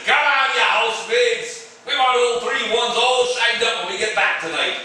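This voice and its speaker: Hearty, joshing male firefighter played by an actor, very bright and forceful, radio drama, distant. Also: very high-pitched, lively intonation, moderate pace, full character voice. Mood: hearty teasing. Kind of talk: old-time radio drama acting. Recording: very echoey, distant, faintly noisy radio drama.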